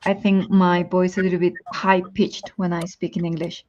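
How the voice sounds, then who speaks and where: A woman's voice: on the low side, flat delivery, normal speed, darkish tone, lo-fi sound, slight background noise. Chatty woman with a Spanish accent. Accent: Spanish-speaking. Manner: chatty, thinks aloud with many ums. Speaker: Spanish teacher, guest. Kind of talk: casual chat on a live stream.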